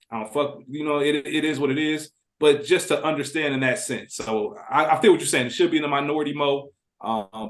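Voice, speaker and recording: A man speaking as a podcast guest in a casual, reflective and slangy conversational way. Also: medium pitch.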